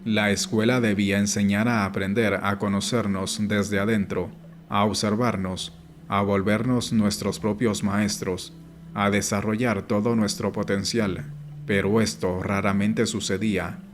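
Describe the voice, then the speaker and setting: A man reading an audiobook as scripted narration, in a measured, instructive way. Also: lowish voice. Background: background music.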